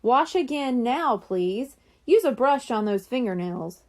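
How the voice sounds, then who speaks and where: Woman as big brother, storybook character, responsible, firm, polite, instructing, high voice, animated intonation. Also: unhurried. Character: responsible older brother minding kids in a children's storybook.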